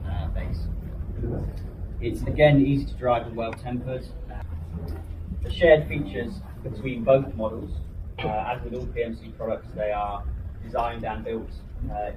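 A man giving a product presentation in a measured, hesitant delivery, recorded at a distance in an event room. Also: on the low side. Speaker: company presenter. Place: indoor trade show demo room. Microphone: camera some distance away. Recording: distant mic, noisy event hall. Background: clear room ambience noise.

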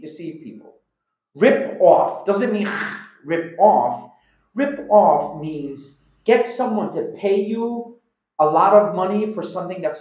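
A middle-aged man teaching English informally to camera in an animated, emphatic manner, recorded indoors at home. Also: sits high. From Iran. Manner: animated, emphatic, with acted-out examples. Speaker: English teacher, video host. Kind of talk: informal slang lesson to camera. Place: small room at home, indoors. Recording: roomy, slightly dull sound.

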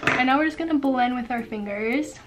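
A woman's voice: high-pitched, fairly quick, background noise. A young woman in her twenties chatting through a makeup routine in her bedroom, bubbly and full of fillers and small laughs. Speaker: beauty vlogger. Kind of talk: casual makeup tutorial chat. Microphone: camera on a tripod at arm's length.

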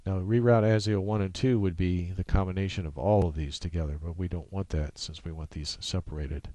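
A man presenting an informal software tutorial, explaining step by step in a halting way. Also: low voice, steady tone, dry recording.

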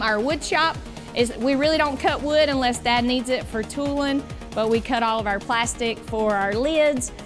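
A woman in her forties giving a brisk, explanatory walking tour of a factory workshop. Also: fairly high pitch. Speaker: boat company guide. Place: large factory workshop indoors.

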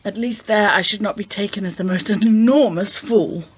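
A woman playing a wistful, distressed genteel lady with formal, measured phrasing in a radio play. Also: medium pitch, slight background noise, natural voice. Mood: troubled, resigned.

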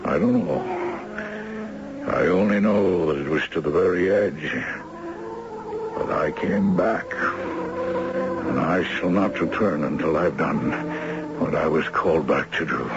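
An older actor playing a shrewd, courtly retired judge in a 1970s radio drama, dry, wry and formally articulate. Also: male voice, very deep voice, slow pace, pauses often, loud music behind the voice, heavily acted. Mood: solemn, resolved. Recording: dull, noisy 1970s radio recording.

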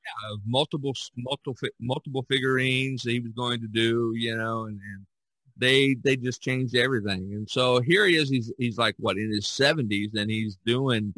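A man in a casual podcast discussion about art, telling stories in a halting, repetitive way. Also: medium pitch, a bit bright, no echo, rough recording. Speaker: podcast co-host.

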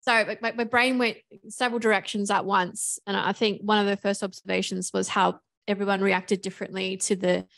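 Gravelly-voiced woman asking questions. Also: mid-range voice, moderate pace, hardly any pauses. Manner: animated, laughs often. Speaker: audience member asking a question. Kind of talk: casual question at a panel.

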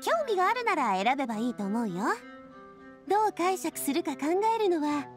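A breezy, confident young lady, high-voiced with animated swings in pitch. She talks casually and playfully in anime game style over a music bed. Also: fairly quick. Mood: playful, encouraging. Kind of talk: Japanese anime game voice acting. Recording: studio voice with music underneath.

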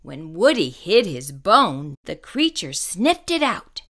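A woman storyteller reading a children's picture book aloud, expressive and carefully phrased. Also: fairly low pitch, takes it slowly.

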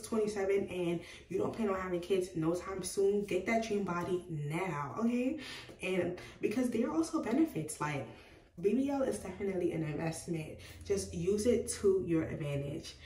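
A woman in her twenties talking casually to the camera, chatty and animated as she gestures with her hands. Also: medium pitch. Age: 20s. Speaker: beauty vlogger. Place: small room indoors by a doorway. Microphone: camera at a distance. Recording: roomy, slightly distant sound.

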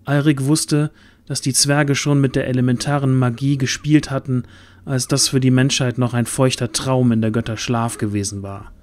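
A man's voice: medium pitch, fairly even intonation, normal speed, a little mellow. A man narrating a scripted fantasy audio drama in a dramatic storytelling manner.

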